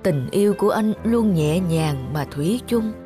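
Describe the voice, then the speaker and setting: A woman's voice: on the low side, lively intonation, moderate pace, music in the background, close to an everyday voice. A woman voicing an ageing widowed mother in a cartoon, tender, sad and devoted to her late husband. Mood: tender, nostalgic. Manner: tender, melancholy.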